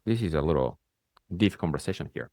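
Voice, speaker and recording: A man's voice: a bass voice, big pitch swings, brisk, warm tone, no room sound, studio quality. A man with a Japanese accent, speaking English as a later language, hosting a reflective conversation and checking in with "right?" as he goes. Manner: speaks in rising bursts, often ends with "right?". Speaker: spiritual coach hosting a conversation.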